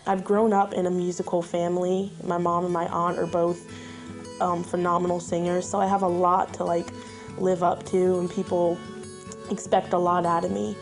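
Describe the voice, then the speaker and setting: A woman's voice: fairly low pitch, little pitch movement, normal speed, over music. A teenage girl auditioning for a lead role, speaking candidly about growing up in a musical family. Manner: candid and reflective. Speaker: student auditioning.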